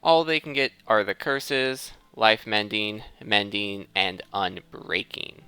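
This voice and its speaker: A man's voice: fairly low pitch, fairly even intonation, takes it slowly, bright, forceful tone, smooth. A man giving casual, playful gameplay commentary as the host of a let's play.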